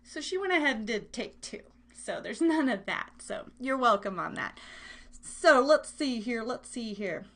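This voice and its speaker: A woman's voice: a little high, lively intonation, measured pace, fairly bright tone. A woman hosting a live online music show in a casual, chatty, gushing way. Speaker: radio show host.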